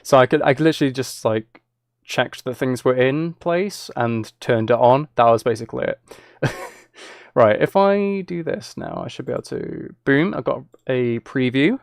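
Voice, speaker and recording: A man's voice: medium pitch, lively intonation, moderate pace, gravelly, no room sound, clean studio sound. A man chatting casually as he hosts a livestream, rambling and thinking aloud. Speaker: streamer.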